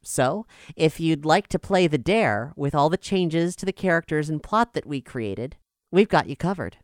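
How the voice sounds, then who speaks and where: Female podcast host, smooth and slightly low, scripted update read with measured polish, dry studio sound. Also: animated, no room sound.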